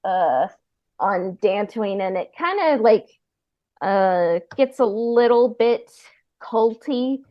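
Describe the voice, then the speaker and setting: A woman's voice: medium pitch, animated, slow, dull audio. A woman co-hosting a podcast in casual, hesitant talk peppered with "like" and "you know". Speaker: podcast co-host. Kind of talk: casual chat.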